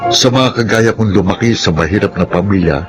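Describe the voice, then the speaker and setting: A man's voice: low-pitched, a little fast, close to an everyday voice. An actor playing an earnest, driven young Filipino man in a radio drama. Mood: solemn, inspirational. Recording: radio drama with music underneath.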